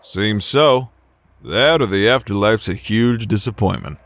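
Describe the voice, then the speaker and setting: A man's voice: on the low side, expressive, a little slow, a bit bright. A man voicing a laid-back outlaw gunslinger with a dry, teasing manner in a fantasy drama. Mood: wry, casual.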